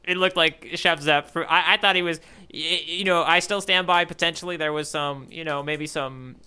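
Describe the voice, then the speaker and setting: A man's voice: on the high side, fairly even intonation, moderate pace, bright, forceful tone, smooth. A man co-hosting a podcast in casual, conversational chat. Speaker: podcast co-host.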